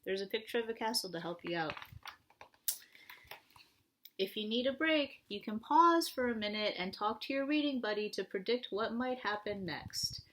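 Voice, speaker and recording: High-voiced woman reading to children. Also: normal speed, poor audio. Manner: animated, asks the young listeners questions. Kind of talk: picture-book read-aloud to young children.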